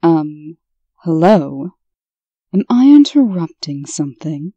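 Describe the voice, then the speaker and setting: A woman's voice: deep voice, big pitch swings, mellow, slightly rough, no echo, lo-fi sound, heavily acted. A woman voicing a stern, authoritative administrator in a comic dub. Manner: brisk, authoritative.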